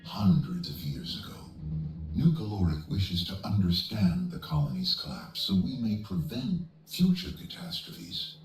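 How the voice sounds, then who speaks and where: A man playing a grandiose, solemn corporate AI envoy in a video game, speaking formally with deliberate, portentous delivery. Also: low-pitched, big pitch swings, a little mellow, roomy sound, recorded from a distance, soft background music, exaggerated voice, low-quality recording. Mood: solemn, grand. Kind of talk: scripted video game cutscene monologue.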